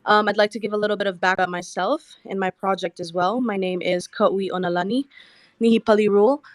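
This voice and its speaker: A woman from Hawaii gives a prepared pitch for her project in a steady, read-out way. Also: a little fast, no room sound.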